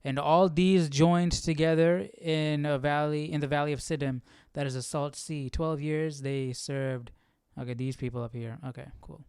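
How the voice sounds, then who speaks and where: A man's voice: on the high side, fairly even intonation, moderate pace, few pauses, darkish tone, clear, resonant tone, no echo, studio quality. A man hosting a casual Bible study, reading aloud and informally thinking through it, often repeating himself and checking his understanding. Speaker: host of a live Bible study.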